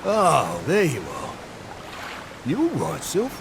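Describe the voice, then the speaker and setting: Blunt Cockney sailor, highly animated. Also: male voice, slow, noisy background, exaggerated voice. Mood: concerned. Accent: British, Cockney. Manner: blunt, rough-and-ready, animated. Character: burly, loyal, blunt male pirate-ship crewman. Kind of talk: video game cutscene acting.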